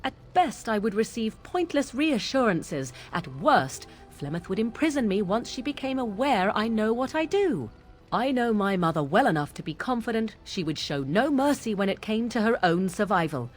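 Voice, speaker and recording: A woman's voice: medium pitch, very animated, moderate pace, faint music behind, natural voice. An actress playing a proud, sardonic young witch in a video game, speaking in formal, archaic phrases with haughty deliberation. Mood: cold anger. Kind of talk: dramatic video game dialogue.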